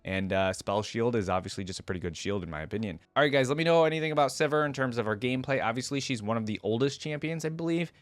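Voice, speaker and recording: A man's voice: mid-range voice, fast, few pauses, no echo. A man hosting a reaction video, giving casual, upbeat commentary in an informal, appreciative way. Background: music behind the voice.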